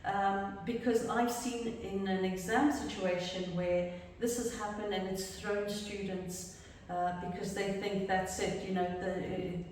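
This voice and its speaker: A woman who trains teachers for speaking exams, answering questions in a relaxed, chatty way. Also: mid-range voice, measured pace, a little hiss or hum. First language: English. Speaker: exam trainer. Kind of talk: casual Q&A discussion. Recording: echoey room from far off.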